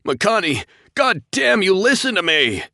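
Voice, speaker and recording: A man doing a young starship captain, barking orders in a raised, strained voice. The voice sits well above the performer's own low register, with a close, dry sound. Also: animated, normal speed, a bit bright, no room sound. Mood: urgent. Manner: urgent, barked orders. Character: young male starship captain, desperate, commanding.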